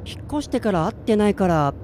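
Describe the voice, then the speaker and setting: A man's voice: sits high, sing-song, fast, darkish tone, slight background noise. An actor playing a listless, self-doubting man in his twenties in a radio drama, plain-spoken in narration and dialogue. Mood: reflective, subdued. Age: 20s.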